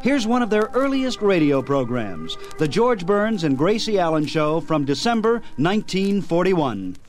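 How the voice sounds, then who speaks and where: A man's voice: high voice, sing-song, moderate pace, soft background music. A man hosting a nostalgic radio program, reading a scripted introduction in a presenter's style.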